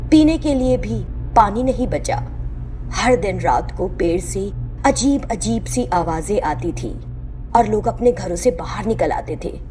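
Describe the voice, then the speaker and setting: A woman's voice: medium pitch, expressive, measured pace, dark tone, soft background music. A woman narrating a scripted moral tale as the storyteller.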